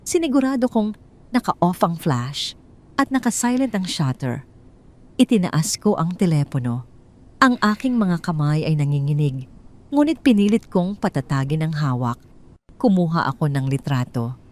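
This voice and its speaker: A woman telling a first-person drama story in a hushed, tense, suspenseful way. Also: deep voice, big pitch swings, moderate pace. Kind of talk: first-person scripted narration.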